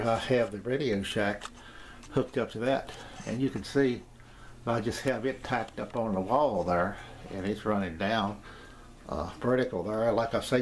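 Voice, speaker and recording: Low-voiced older man, rambling and hesitant. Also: darkish tone. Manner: rambling, hesitant, repeats himself. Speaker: amateur radio hobbyist giving a shack tour. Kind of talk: casual, unscripted home video tour. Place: small home radio room, indoors. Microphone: handheld camera's built-in mic. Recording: handheld camcorder with clear background noise.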